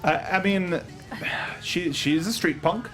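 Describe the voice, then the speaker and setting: A man's voice: high voice, slow, over loud music. A man hosting a film review show, talking casually and steering the panel discussion.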